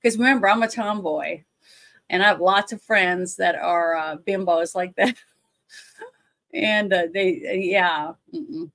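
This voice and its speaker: A middle-aged woman with a fairly deep voice, co-hosting a casual movie review over a video call, blunt and opinionated. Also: unhurried, fairly bright tone. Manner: blunt, opinionated, laughs often. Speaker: co-host. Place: indoors at home. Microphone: webcam on a video call.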